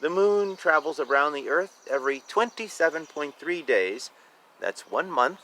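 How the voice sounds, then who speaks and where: Male storybook reader, slightly high and bright, animated delivery for young listeners, informal asides between lines. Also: unhurried, slight background noise. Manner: expressive, informal, drawing out words, commenting on the pictures. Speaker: children's book reader. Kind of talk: animated storybook read-aloud with casual asides.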